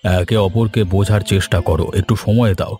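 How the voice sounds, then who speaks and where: Man acting mellow, low-voiced village landowner. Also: steady tone, faint music behind, natural voice. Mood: calm, advising. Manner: measured, advising. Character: well-off, easygoing village landowner, shrewd patron. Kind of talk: scripted cartoon dialogue.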